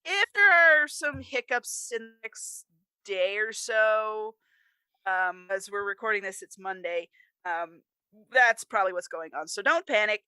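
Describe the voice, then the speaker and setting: A woman's voice: mid-range voice, animated, unhurried, pushed and bright, clean tone, no echo. An American woman co-hosting a podcast in casual chat, with folksy turns of phrase. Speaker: podcast co-host.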